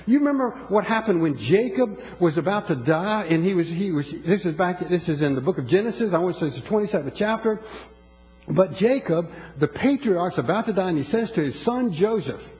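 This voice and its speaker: A man's voice: high voice, animated, brisk, a little mellow, in a large hall, low-quality recording. A man preaching a sermon to his congregation in a church, emphatic and repeating his words as he talks to them. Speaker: pastor.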